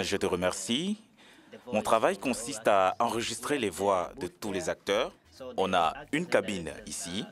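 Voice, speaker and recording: A man explaining his work as an audio producer in an interview. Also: fairly low pitch. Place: recording studio. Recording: music playing behind speech. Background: music.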